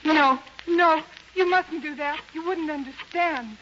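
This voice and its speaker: A woman's voice: high-pitched, measured pace, a bit bright. A woman playing a frightened, desperate young married woman in a 1930s radio drama, pleading melodramatically. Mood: panicked. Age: young adult. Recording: dull, crackly 1930s radio recording.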